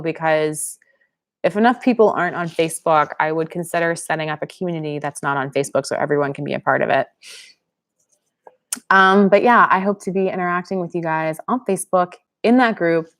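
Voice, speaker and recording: A woman's voice: fairly low pitch, fairly bright tone. Chatty woman hosting a live Q&A. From Pennsylvania, US. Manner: chatty, reads viewer comments aloud. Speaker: channel host. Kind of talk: live-stream Q&A chat.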